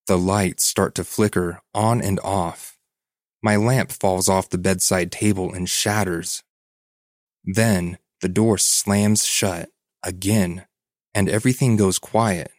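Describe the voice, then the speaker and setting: A man's voice: low voice, fairly even intonation, slow pace, darkish tone, no room sound, clean studio sound. A man reading a story aloud as a podcast host, in scripted narration.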